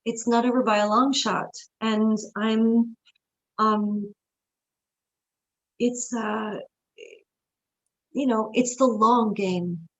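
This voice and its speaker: A middle-aged woman from New York, a stage actress talking as a guest in a casual interview, telling stories in a lively, expressive way and laughing often. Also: a little high, very slow, pauses often, dull audio.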